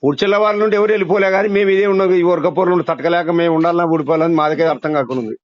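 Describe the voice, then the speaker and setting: A man's voice: on the high side, racing. A man with a Rayalaseema Telugu accent speaking colloquially and emphatically on a phone call, complaining candidly in rural style. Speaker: local party leader being surveyed by phone. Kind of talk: candid phone conversation, airing grievances. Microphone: telephone. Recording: muffled lo-fi leaked phone call.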